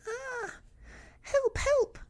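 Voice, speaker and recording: A timid little piglet from a storybook, squeaking extremely high. The voice swoops wildly, breathless and stammering with fright-prone nerves. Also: female voice, animated, slow pace, slight background noise, full character voice. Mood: panicked. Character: small panicky timid piglet, storybook animal.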